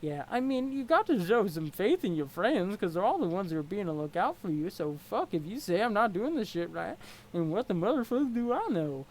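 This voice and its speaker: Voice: mid-range voice, sing-song, brisk, a little mellow, poor audio. A reader voicing a laid-back, foul-mouthed male troll clown with a lazy drawl. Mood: easygoing.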